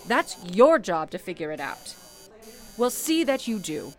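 A woman's voice: medium pitch, big pitch swings, unhurried, a crowd in the background. A woman playing a stern, formal switchboard supervisor in an old-time radio play, clipped and commanding. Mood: curt, professional. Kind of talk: 1930s-style radio play acting.

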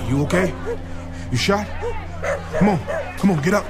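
An actor playing a defiant young son defending his home in a radio drama, urgent and shouting. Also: high voice, normal speed, lightly acted. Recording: crowd uproar and loud music behind.

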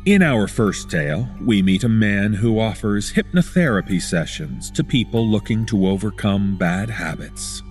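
Man hosting, measured delivery over music. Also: on the low side, lively intonation. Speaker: podcast host. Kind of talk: scripted narration. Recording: music under the voice. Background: background music.

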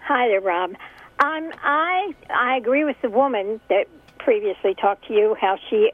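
A woman's voice: on the high side, lively intonation, unhurried, dry recording. A woman in her seventies calling a talk radio show, blunt and opinionated. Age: 70s. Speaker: caller. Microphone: telephone. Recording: muffled phone line.

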